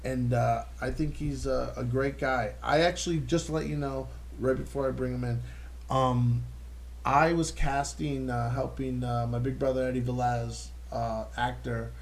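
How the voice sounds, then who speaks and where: A man's voice: mid-range voice, steady tone, moderate pace. A man hosting a casual comedy podcast, swearing freely and joking with his guests. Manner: loose, profane, laughing banter. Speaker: podcast host.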